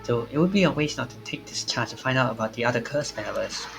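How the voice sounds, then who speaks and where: A man with a fairly deep voice reads a visual novel aloud for a stream. His pitch is average for a man. Game music plays clearly behind him. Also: lively intonation, fast.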